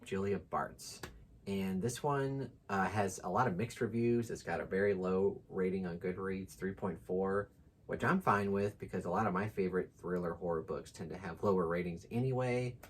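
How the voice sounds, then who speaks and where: A man's voice: low voice, normal speed, husky. A man co-hosting a book channel in casual, unscripted chat, easygoing and joking with frequent asides.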